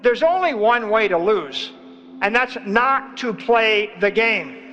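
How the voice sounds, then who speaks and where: A man's voice: high-pitched, expressive, takes it slowly, pushed and bright. A man giving a motivational speech in short, emphatic declarative phrases. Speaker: speaker. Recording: echoey hall, dull sound, faint music.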